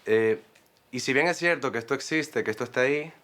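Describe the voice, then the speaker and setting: Thoughtful screenwriter. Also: male voice, mid-range voice, a little fast, a bit bright. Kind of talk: interview and pitch.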